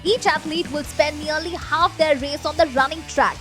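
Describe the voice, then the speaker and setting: A woman with an Indian English accent co-presenting a fitness race with brisk, clear delivery. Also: high voice, fairly even intonation, fairly bright tone, faint music behind. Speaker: race commentator. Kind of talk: scripted sports commentary.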